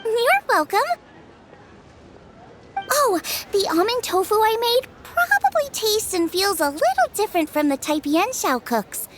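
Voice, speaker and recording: A woman's voice: very high-pitched, big pitch swings, a little slow, over loud music, exaggerated voice. A woman voicing a cheerful, friendly young female chef in an anime-style video game. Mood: friendly, eager.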